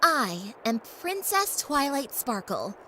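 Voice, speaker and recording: A woman's voice: sits high, sing-song, slow, pushed and bright, slight background noise, full character voice, rough recording. A woman voicing a wary, polite young pony princess who stammers and hesitates in an audio drama. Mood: nervous, wary.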